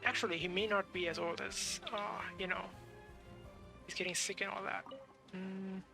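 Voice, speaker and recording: A woman's voice: mid-range voice, fairly even intonation, moderate pace, creaky. A woman streaming a game, giving casual off-the-cuff commentary and hesitantly thinking aloud. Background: game music.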